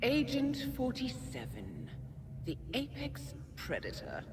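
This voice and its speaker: A woman's voice: a little high, huge pitch swings, takes it slowly, heavy background noise, heavily acted. A woman playing a cruel, mocking villainess in a video game cutscene, taunting with theatrical relish. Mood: mocking contempt.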